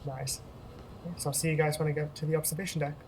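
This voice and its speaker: Let's-play host, casual rambling commentary, mid pitch, faint background hiss. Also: rapid-fire. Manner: relaxed, rambling, frequent ums and laughs.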